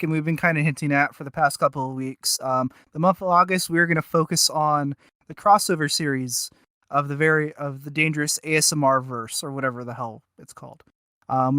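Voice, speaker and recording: Male podcast co-host, slightly high and forceful, casual and self-mocking. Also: smooth, no echo, studio quality.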